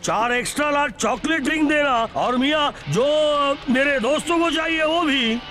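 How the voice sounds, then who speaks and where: Extremely high-pitched eager mole-man. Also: moderate pace, sharp tone. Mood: breezy. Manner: pleading, showy, eager. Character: small excitable pompous mole-man sidekick, eager for gold. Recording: music behind the voice.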